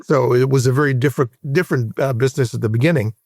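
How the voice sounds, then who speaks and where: A man in his sixties from Hawaii with an American accent, recounting his career in a measured, explanatory way as an interview guest. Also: medium pitch, darkish tone, no room sound, studio quality. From Hawaii, United States. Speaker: interview guest, private equity veteran. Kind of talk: conversational career recollection in an interview.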